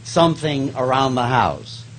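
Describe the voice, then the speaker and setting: A man playing a stingy comedian posing as an innocent quiz contestant, hesitant and deadpan with whiny protests. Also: medium pitch, a little slow. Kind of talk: comic stage recreation of 1950s radio. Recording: noisy live stage recording.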